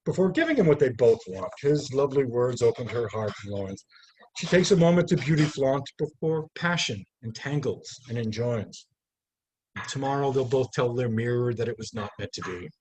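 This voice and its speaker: A man reading his poetry aloud over a video call, in a deliberate, theatrical way with measured pauses. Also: mid-range voice, animated, a little mellow, slightly rough, rough recording. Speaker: poet reading own work. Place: live online video call. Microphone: video call microphone.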